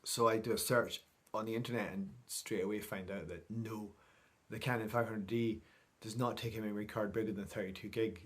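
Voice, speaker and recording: A middle-aged man with a fairly low voice recaps things for his vlog. He talks in a chatty, informal way, wandering from one thought to the next as if speaking to friends. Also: fairly even intonation, brisk. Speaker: vlogger. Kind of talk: casual vlog recap to camera.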